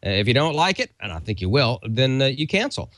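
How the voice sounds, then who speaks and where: A man's voice: medium pitch, very animated, fairly quick, fairly bright tone, dry recording. A man co-hosting a show, giving a casual, conversational sponsor read that rambles a little. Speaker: show co-host.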